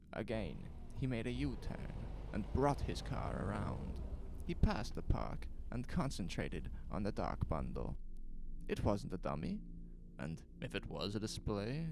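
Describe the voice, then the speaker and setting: Low, gravelly man narrating horror. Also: noisy background. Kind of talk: scripted horror story narration. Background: ambient sound effects.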